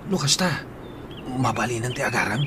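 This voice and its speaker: A man's voice: mid-range voice, animated, normal speed, noisy background, lightly acted. A man with an Ilocano accent playing an eager suitor in a radio drama. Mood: wooing. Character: eager man courting his old sweetheart. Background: music.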